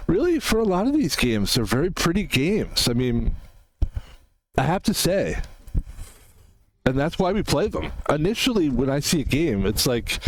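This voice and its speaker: A man's voice: medium pitch, low-quality recording. A man streaming a video game, chatting casually and rambling, repeating himself and filling with "like" and "you know". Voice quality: describes his own voice as soft. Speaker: gaming streamer. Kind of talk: casual gameplay commentary.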